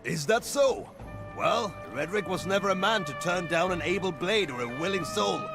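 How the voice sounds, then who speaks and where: High, gravelly swaggering pirate captain. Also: male voice, animated, normal speed. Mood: contemptuous, grumbling. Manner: swaggering, theatrical, contemptuous. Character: boastful, menacing male pirate slaver captain. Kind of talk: fantasy video game voice acting. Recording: game music behind.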